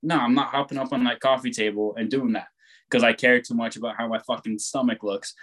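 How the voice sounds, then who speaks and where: A young man chatting casually, rambling and laid-back, who swears freely and talks loud. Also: medium pitch, steady tone, a little fast. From born on the West Coast, raised on the East Coast of the US. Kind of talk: casual chat.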